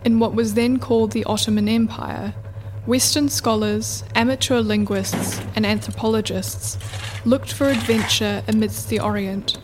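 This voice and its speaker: A woman reading scripted narration for a radio play in a measured, deliberate way. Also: on the high side, fairly even intonation, a little hiss or hum, poor audio.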